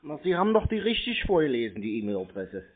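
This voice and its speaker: A man's voice: a little high, big pitch swings, fast talker. A man chatting casually on the phone as a naive, rambling, colloquial customer. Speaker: prankster playing a gullible customer to phone scammers. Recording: narrow, tinny phone-call sound.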